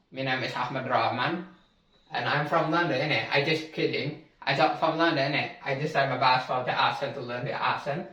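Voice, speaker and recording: A man's voice: medium pitch, fairly bright tone, far from the mic, lo-fi sound. A young man explaining accents in a casual, slangy tutorial, often correcting himself mid-word. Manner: chatty, slangy, self-correcting. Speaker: language tutor. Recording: echoey room, away from mic.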